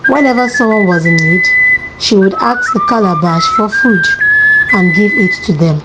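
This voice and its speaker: A woman's voice: mid-range voice, animated, normal speed, over loud music, low-quality recording. A woman reading a scripted folktale for children as a storyteller.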